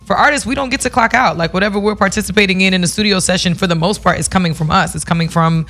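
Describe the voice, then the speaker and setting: A woman's voice: very fast, a bit bright, faint music behind. A woman from New City, guest co-hosting a radio talk show, speaking frankly and animatedly with many restarts. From New York City. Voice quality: deep voice. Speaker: guest co-host, singer. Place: radio studio.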